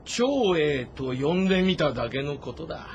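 A man playing a proud, self-assured warrior general with blunt, rough speech in a dramatic audio-novel game. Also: on the high side, big pitch swings, moderate pace, dull audio, slight background noise. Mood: teasing, confident. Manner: blunt, confident, speaking in rough masculine speech. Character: bold, proud warrior general, gruff and sure of himself.

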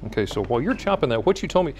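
Man, tv co-host, quick animated delivery, jokey, studio set. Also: mid-range voice, slight background noise. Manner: jokey, energetic banter. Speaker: TV show co-host. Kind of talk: morning show hosting.

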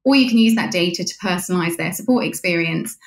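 A woman's voice: on the low side, fast talker, roomy sound. A woman being interviewed, explaining a product in a conversational way.

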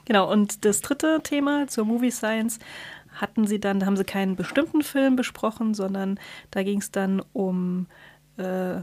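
Female podcast co-host: mid-range voice, conversational, halting explainer, recorded dry. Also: medium pitch, a little mellow, no echo. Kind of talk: casual podcast chat.

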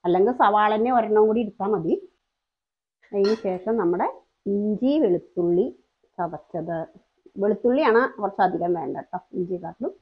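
A woman's voice: mid-range voice, moderate pace, long pauses, husky, narrow, tinny audio. A woman hosting a home cooking channel, talking through each step in a chatty, explaining way. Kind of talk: cooking demonstration voice-over.

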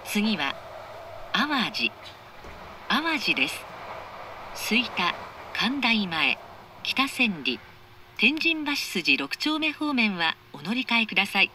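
A woman making formal, measured, carefully articulated scripted train announcements over an onboard speaker. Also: medium pitch, very animated, noisy background. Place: recorded inside a train carriage.

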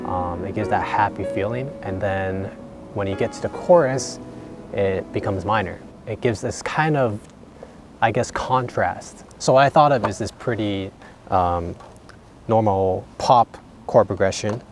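A man's voice: on the low side, measured pace. A young man casually explaining his songwriting outdoors, thinking aloud with fillers. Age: young adult. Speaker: vlogger and songwriter. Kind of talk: casual explanatory vlog talk. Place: outdoors by a lake in winter. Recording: background music under voice.

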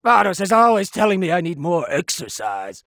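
Anime game villainous cat deity: high, raspy-creaky, hugely animated, petulant and imperious, fat glutton with a blustering bite. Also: male voice, moderate pace, no room sound, clean studio sound, heavily acted. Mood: cocky, idle. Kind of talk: anime video game voice acting.

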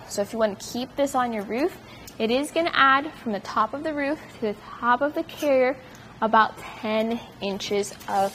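Young woman showing off a roof rack, upbeat and explaining as she goes, voice on the higher side, faint room hum. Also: fairly bright tone. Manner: upbeat, explanatory, hands-on while demonstrating. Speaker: product reviewer. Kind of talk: product demonstration.

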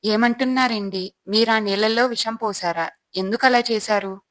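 A woman's voice: fairly high pitch, moderate pace, fairly bright tone, no echo, close to an everyday voice. A woman playing a worried, earnest and upright village wife and mother in a moral storybook tale. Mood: dismayed, reproving.

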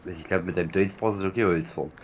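Deep fast-talking German voice-chat buddy. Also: male voice. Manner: teasing, brisk and matter-of-fact. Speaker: co-player on voice chat. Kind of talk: casual gaming chat. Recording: dull voice-chat audio with faint noise.

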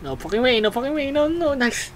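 A woman doing let's play commentary, excitable and sweary, repeating phrases rapidly as she reacts and reads game text. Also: high voice. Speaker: video game streamer. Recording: game music behind the voice. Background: video game music.

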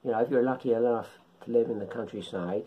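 A middle-aged man giving an informal tutorial in short, halting phrases, speaking at home into a camera microphone. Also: mid-range voice, narrow, tinny audio, slight background noise. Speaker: amateur radio hobbyist presenter. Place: indoors, living room at home.

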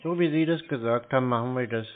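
A man's voice: mid-range voice, fast. A man with a mid-range voice plays the naive customer on a prank call. He hesitates and rambles as he talks. His voice comes through a muffled, narrow phone line. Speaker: prank caller posing as a customer. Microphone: telephone.

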